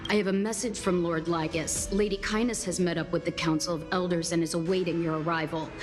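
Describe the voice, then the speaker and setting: A woman's voice: fairly low pitch, fairly even intonation, brisk, music in the background, close to an everyday voice. A woman playing a courteous, formal messenger in a video game. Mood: polite, urgent. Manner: polite, formal. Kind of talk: game voice acting.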